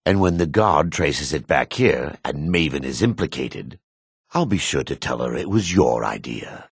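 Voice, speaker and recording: An actor playing a shifty, greedy dark elf merchant and foreman in a fantasy video game, brusque and sly, with a raspy voice. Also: a bass voice, lively intonation, a little slow, dry recording, studio quality, exaggerated voice. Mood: menacing.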